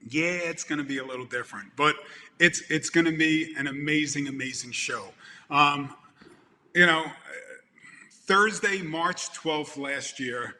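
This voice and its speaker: A man in his fifties with a Brooklyn accent, giving an animated motivational talk over a video call and repeating words for emphasis. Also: a little high, measured pace, in a large hall, a little hiss or hum. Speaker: event producer speaking to a conference. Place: home room with plants and lamps, indoors. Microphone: video call webcam.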